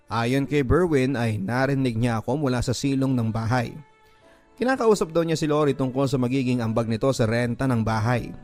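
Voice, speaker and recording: Man reading a heartfelt letter, soft music. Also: medium pitch. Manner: emotive, slowing on sad moments. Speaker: radio drama narrator reading a listener's letter. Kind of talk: dramatic first-person letter reading.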